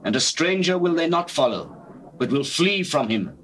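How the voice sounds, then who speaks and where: An actor playing a solemn, authoritative holy teacher in dramatized scripture, declaiming in measured phrases with deliberate pauses. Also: male voice, a little high, very animated, a bit bright. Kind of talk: dramatized scripture, classical stage delivery. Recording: faintly noisy old cassette.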